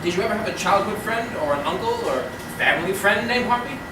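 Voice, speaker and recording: An actor playing a patient, probing psychiatrist in a theatrical comedy. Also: male voice, high-pitched, expressive, fast. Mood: inquiring. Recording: distant, echoey, noisy stage recording.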